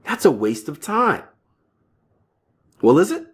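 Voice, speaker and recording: A man's voice: a little high, wildly swooping pitch, slow pace. A man in his early thirties hosting a podcast, talking casually with frequent "right?" tags and restarts. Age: 30s. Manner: conversational, keeps tagging lines with "right?"; stumbling restarts and fillers, offhand asides. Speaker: podcast host. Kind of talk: casual solo talk on trading psychology.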